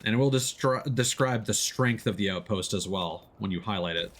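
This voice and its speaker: Low-voiced man commentating a game. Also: normal speed, fairly bright tone. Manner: explanatory, talking through tactics step by step. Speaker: video game streamer. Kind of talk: casual live gameplay commentary. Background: video game sound.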